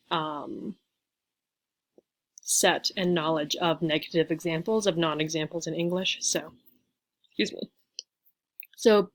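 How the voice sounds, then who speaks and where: A young woman teaching a grammar lesson over slides, chatty and loose, with many fillers and playful asides, recorded on a webcam at home. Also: lowish voice. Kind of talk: casual slide lecture.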